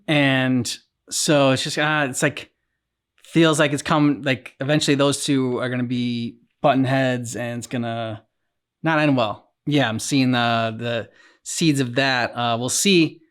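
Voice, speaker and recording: A man hosting a reaction show, talking casually and haltingly as he thinks aloud. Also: mid-range voice, steady tone, fairly bright tone, clean tone. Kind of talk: casual discussion.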